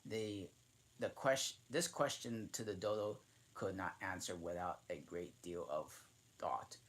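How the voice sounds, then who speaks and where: Slow, halting man reading a storybook. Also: deep voice, a bit bright. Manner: halting, restarting and correcting himself mid-sentence. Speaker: channel host reading aloud. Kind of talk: reading a children's classic aloud.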